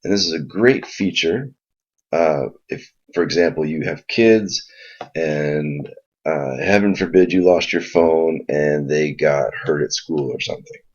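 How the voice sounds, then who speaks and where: A man presents a casual software tutorial. His voice is very low and husky, and he explains each step informally. The recording sounds dull and lo-fi. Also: unhurried. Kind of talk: casual spoken screen walkthrough.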